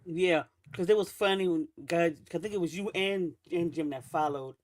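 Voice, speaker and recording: A woman's voice: on the low side, a little mellow. Chatty, giggly woman hosting a stream. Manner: chatty and giggly, quick asides. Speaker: livestream host. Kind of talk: casual livestream chat.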